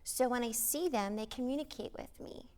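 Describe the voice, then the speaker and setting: A woman's voice: on the high side, fairly quick, a little mellow. A woman interviewed as an angel reader, explaining her practice in long run-on sentences.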